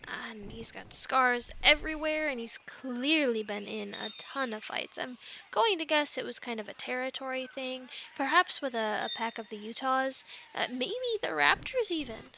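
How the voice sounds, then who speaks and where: A woman's voice: high voice, moderate pace, vocal fry, pushed and bright, slight background noise, full character voice. A woman acting a chatty young island castaway who loves animals, in an intimate, rambling ASMR roleplay with hesitant, earnest explanations. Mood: chatty, wary.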